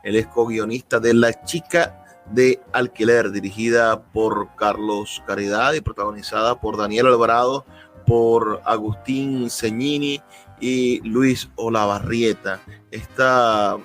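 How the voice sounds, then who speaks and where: A man's voice: medium pitch, fairly even intonation, takes it slowly, fairly bright tone. Venezuelan man hosting over music. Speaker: radio host and interviewer. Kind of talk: radio interview hosting.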